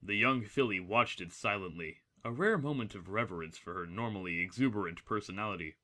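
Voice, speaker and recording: A man narrates a dramatic story in a fairly low voice with a bright, forceful edge. His delivery is measured, literary and solemn.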